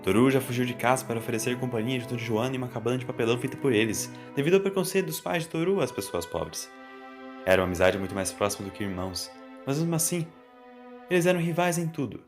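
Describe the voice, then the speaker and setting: A man's voice: mid-range voice, expressive, fast. A man with a Brazilian accent reading a scripted story as its narrator, in Portuguese storytelling style. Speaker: narrator of an audio fan story. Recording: voice over background music. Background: music.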